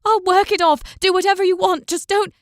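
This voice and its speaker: A woman's voice: high-pitched, brisk, no room sound, studio quality, voice pushed up, lightly acted. A woman voicing a desperate, poor young mother in an audiobook, speaking in a strained, pleading way. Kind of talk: audiobook character voice.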